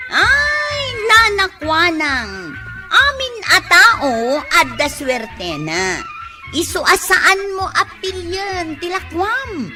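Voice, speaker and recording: An actress playing a loud, exasperated village woman in a comedic radio drama, with drawn-out exclamations. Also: very high voice, very animated, over music, heavily acted.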